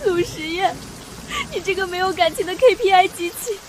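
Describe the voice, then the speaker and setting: An actress playing a feisty young female assistant in an animated drama, melodramatic and full of exclaimed complaints. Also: extremely high pitch, brisk. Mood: desperate, indignant. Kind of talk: animated short drama acting. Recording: heavy rain noise behind voice.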